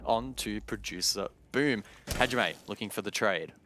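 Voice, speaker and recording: A man doing live play-by-play commentary on an esports match, analytical and excitable on key plays. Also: lowish voice, unhurried, a little hiss or hum.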